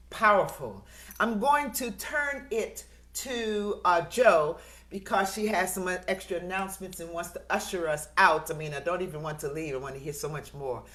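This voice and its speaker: A woman's voice: on the low side, fairly bright tone. A woman hosting an online event conversationally, in an effusive, admiring way. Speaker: host.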